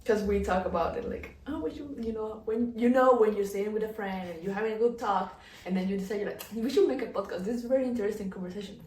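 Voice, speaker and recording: A woman's voice: mid-range voice, fast talker, few pauses, a little mellow. A Colombian woman in her twenties, co-hosting a casual podcast chat, laughing often and filling her talk with "like". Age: 20s. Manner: giggly, lots of "like" fillers. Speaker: podcast co-host. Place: indoor room in the Netherlands. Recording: echoey room, mic at a distance.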